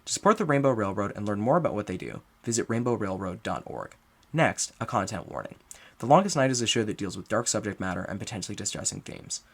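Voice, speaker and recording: A man's voice: lowish voice, animated, fast talker, breathy edge. A man reading a scripted introduction and announcements as the writer and director of a show.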